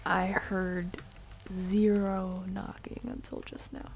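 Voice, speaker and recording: A woman streaming a game, chatting playfully with viewers and often dropping into hushed whispered asides. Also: mid-range voice, completely flat delivery, slow pace, slight background noise. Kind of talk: casual live-stream chat while playing.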